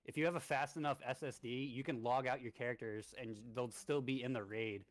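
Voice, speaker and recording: A man's voice: mid-range voice, steady tone, fairly quick, no echo. A man in his twenties, streaming a game and leading a raid, talking in a rambling, easygoing way with lots of fillers. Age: 20s. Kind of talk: casual gaming chat and raid briefing.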